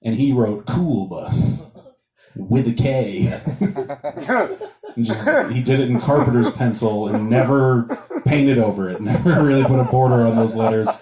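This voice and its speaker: A man's voice: mid-range voice, a little slow, dark tone. A man from Montana doing a crude, self-mocking stand-up set, rambling with fillers. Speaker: stand-up comedian. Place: comedy club stage. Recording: roomy club sound, taken from a distance, audience laughter.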